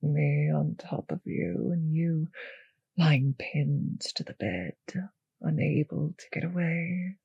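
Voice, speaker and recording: Slow, breathy vampire mistress, acted by a woman. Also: low voice, no echo, lo-fi sound, heavily acted. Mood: seductive, domineering. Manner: slow, teasing, condescending purr with whispered phrases. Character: cruel, possessive, seductive female vampire mistress toying with servant. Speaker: voice actor in an audio roleplay. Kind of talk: intimate scripted audio roleplay.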